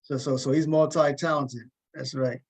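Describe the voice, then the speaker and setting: A man's voice: medium pitch, steady tone, moderate pace, husky. A man hosting a talk show, interviewing conversationally and often repeating words as he forms his questions.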